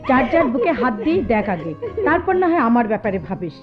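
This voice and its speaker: A woman's voice: high-pitched, sing-song, quick pace. An actress playing a touchy, opinionated young female student in a Bengali radio drama, scornful and emphatic. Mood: huffy. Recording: tinny phone-like radio audio, music behind.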